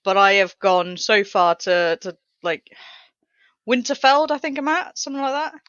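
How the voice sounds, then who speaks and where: A woman's voice: mid-range voice, steady tone, normal speed, a bit bright, clean tone, dry recording, muffled recording. A woman in her thirties co-hosting a podcast, rambling and wry in casual chat. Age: 30s. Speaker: podcast co-host.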